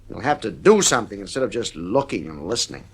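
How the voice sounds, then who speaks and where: A man's voice: fairly low pitch, very animated, fast talker, breathy edge. An actor playing a stern, exasperated middle-aged father in a 1950s radio drama, speaking in a firm, clipped, authoritative way.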